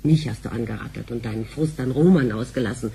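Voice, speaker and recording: A woman playing a sharp-tongued wife in a sci-fi radio drama, mocking and needling. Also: very deep voice, quick pace, creaky, slight background noise. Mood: exasperated, sarcastic. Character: sharp-tongued, sarcastic wife nagging her husband.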